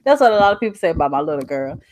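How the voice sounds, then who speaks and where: A woman's voice: low voice, very animated, very fast, darkish tone, a little hiss or hum. A young adult woman with a Southern US accent, talking casually as a channel host in a folksy, emphatic way on a livestream. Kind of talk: casual livestream chat reading out viewer comments.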